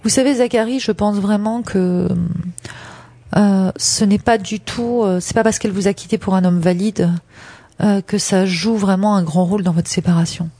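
A frank, emphatic woman with a dark, husky, mid-range voice. She gives conversational advice on the radio, addressing listeners directly in a dry studio sound. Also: mid-range voice, steady tone, normal speed, no echo. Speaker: relationship advice expert on radio.

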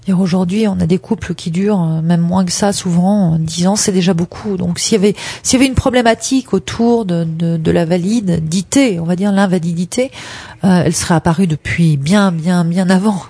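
A woman answering listeners' love questions on a radio show, frank and direct, with many hesitations. Her voice is husky and mellow. The studio sound is dry and close. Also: lowish voice, brisk, no room sound. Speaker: relationship advice guest. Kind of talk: radio advice talk.

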